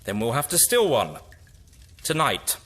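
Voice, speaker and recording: Man acting animated, eccentric sci-fi hero. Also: mid-range voice, unhurried, heavily acted. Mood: resolute. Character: eccentric, resolute male time-travelling hero, or his villainous double. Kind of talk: audio drama acting.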